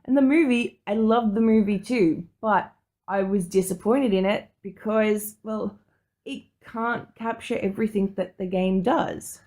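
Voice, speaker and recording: A woman's voice: medium pitch, unhurried, dark tone. A woman co-hosting a podcast, chatting casually in an enthusiastic, blunt and slangy way. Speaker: podcast co-host. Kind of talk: casual chat.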